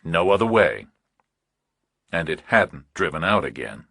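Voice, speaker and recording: A man reading a scripted thriller audiobook with measured, clipped, dramatic phrasing. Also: sits low, big pitch swings, gravelly, a bit bright, husky, dry recording, studio quality. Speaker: audiobook narrator.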